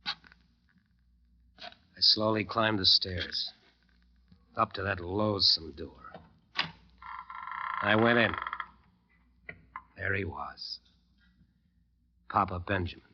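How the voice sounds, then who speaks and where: An actor playing a haunted, worn-out bandleader in an old radio drama, speaking with weary, halting intensity. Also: male voice, deep voice, steady tone, bright, forceful tone, slight background noise, exaggerated voice. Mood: brooding, weary. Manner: weary, halting, intense, brooding first-person narration. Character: haunted, exhausted bandleader wasting away under a curse. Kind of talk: 1940s-50s radio drama acting. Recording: dull old radio recording with music behind.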